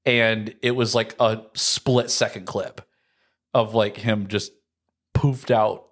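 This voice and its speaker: A man's voice: fairly low pitch, fairly even intonation, slow pace, a bit bright. An American man in his early thirties chatting casually as a podcast co-host, rambling and leaning on filler words. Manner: rambling, frequent "like". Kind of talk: casual chat.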